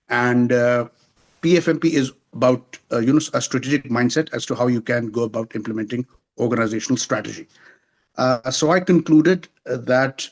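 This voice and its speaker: A man in his forties from Pakistan, answering interview questions over a video call in a measured, formal way with many pauses. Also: mid-range voice. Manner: measured, formal, frequent hesitations. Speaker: interview guest. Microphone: remote video call.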